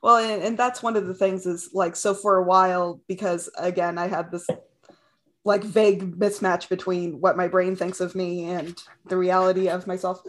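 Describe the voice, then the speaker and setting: A woman's voice: fairly low pitch, level tone, moderate pace. Hesitant, self-deprecating young-sounding woman. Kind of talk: casual chat.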